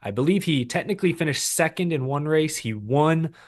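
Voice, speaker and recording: A man's voice: a little high, lively intonation, a little fast. A man co-hosting a racing podcast in casual chat, talking loosely with frequent laughs and restarts. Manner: chatty, laughs often, many restarts. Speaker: podcast co-host.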